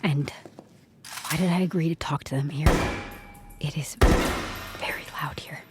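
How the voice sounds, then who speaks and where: Wry, uneasy amateur sleuth played by an actress. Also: female voice, sits low, steady tone, normal speed, heavy background noise, lightly acted. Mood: hushed, uneasy. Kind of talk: podcast audio drama acting.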